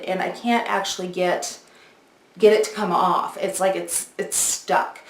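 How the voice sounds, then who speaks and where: Woman, forties, lowish pitch, casual review style, conversational, explanatory, echoey room recording. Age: 40s. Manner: conversational, explanatory, addressing viewers directly. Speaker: beauty video host. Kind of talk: casual product review and demo.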